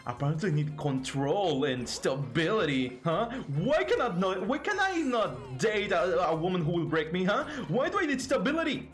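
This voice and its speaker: A man's voice: sits high, big pitch swings, moderate pace, few pauses, soft background music. A man with a Mexican Spanish accent, a native Spanish speaker, casually commentating a game on a live stream in a playful, joking way with stammering restarts. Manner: playful, jokey, frequent laughter and stammering restarts.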